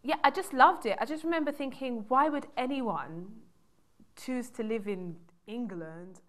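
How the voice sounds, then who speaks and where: A woman in her twenties raised in the UK, chatting as a studio guest on a talk show and telling stories in a lively, laughing way. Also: fairly high pitch, normal speed. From United Kingdom. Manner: bubbly, laughing storyteller. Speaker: guest, dance wellness advisor. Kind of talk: casual interview chat.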